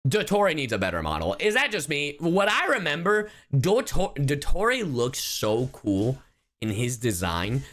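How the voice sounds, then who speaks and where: A man streaming live reaction commentary, casual and expressive, slangy and swearing freely. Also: fairly high pitch, unhurried. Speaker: streamer, host.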